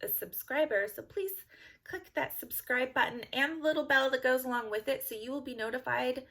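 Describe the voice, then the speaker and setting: A woman's voice: high-pitched, fairly quick, a bit bright. A woman from California with a Californian accent, chatting casually to camera in a giggly, rambling way. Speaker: vlogger.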